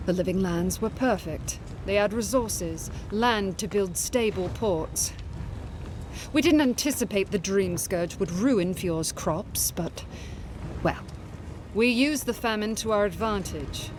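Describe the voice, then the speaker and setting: An actress playing a stern, defiant military commander in a video game, clipped and authoritative. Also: female voice, medium pitch, noisy background, natural voice. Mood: justifying. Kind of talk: scripted video game dialogue.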